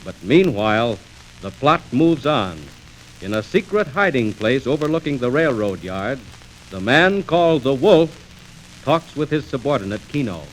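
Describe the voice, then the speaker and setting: A man's voice: medium pitch, very animated, moderate pace, rough recording. A man narrating a 1940s radio serial in a dramatic, scripted, suspenseful and urgent style. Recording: old radio record, faint noise.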